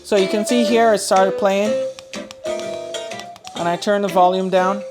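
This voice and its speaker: A woman's voice: medium pitch, fairly even intonation, unhurried, over loud music. A woman giving a casual, chatty product review, thinking aloud as she demonstrates. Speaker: host of a radio review channel.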